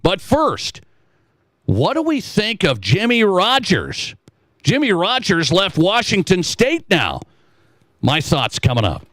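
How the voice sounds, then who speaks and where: A man's voice: sits high, huge pitch swings, slow pace, fairly bright tone, no echo. A man hosting a sports talk radio show, giving emphatic, opinionated commentary in a conversational way and repeating his points. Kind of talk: conversational sports commentary, reading listener texts.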